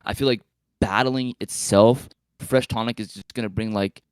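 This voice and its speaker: Male panelist, lowish voice, very dry sound, casual chat, rambling, tentative, self-correcting. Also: fairly even intonation, moderate pace, darkish tone, clear, resonant tone, dry recording. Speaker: podcast panelist.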